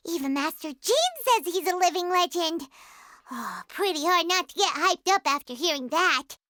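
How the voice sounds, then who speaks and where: A woman's voice: very high-pitched, lively intonation, normal speed, sharp tone, a little hiss or hum, heavily acted. A woman voicing a small, chatty fairy companion in a game cutscene, eager and full of questions. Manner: chirpy, eager, asks lots of questions. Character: tiny floating fairy companion, chatty and excitable.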